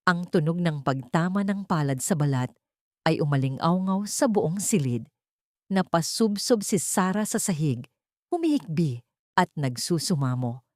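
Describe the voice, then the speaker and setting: A woman narrating a dramatic audiobook story in a steady, dramatic manner. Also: sits low, a little slow, no room sound, clean studio sound.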